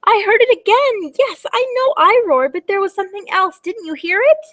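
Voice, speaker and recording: A little storybook dragon, acted out by a woman, proclaims its bravery in a high, theatrical voice. It is grand and boastful, stretching out its words, yet easily spooked. The sound is dry and close. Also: animated, fairly bright tone, no echo, heavily acted. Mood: alarmed. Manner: grandiose, drawn-out declarations and exclamations. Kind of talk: storybook read-aloud.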